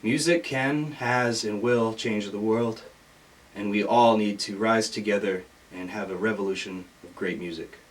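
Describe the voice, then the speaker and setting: An American man, a musician, tells his own story for an audition in an earnest, halting manner. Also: fairly low pitch. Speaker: musician, singer-songwriter. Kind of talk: personal biography told for an audition. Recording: distant mic, faint background noise.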